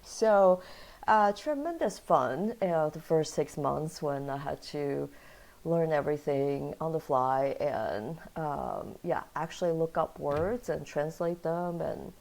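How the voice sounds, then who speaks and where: A woman from Taiwan and Kansas speaks in a low voice as an interview guest. She tells her story in an animated, easygoing way and laughs often. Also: slow, gravelly. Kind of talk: casual interview chat.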